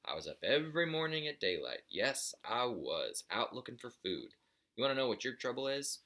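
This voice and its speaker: A man's voice: medium pitch, big pitch swings, moderate pace, sharp tone, pitched above the performer's own voice. A man voicing a cranky, greedy old father buzzard who nags and blusters in a folksy way for a children's storybook. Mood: scolding, nagging. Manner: nagging, blustering, folksy lecturing.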